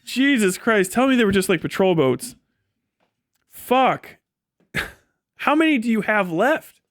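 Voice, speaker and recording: A man's voice: high-pitched, animated, slow, a bit bright, no echo, studio quality. A man giving off-the-cuff political commentary as a live streamer, talking in a blunt, profane, sardonic and dismissive way.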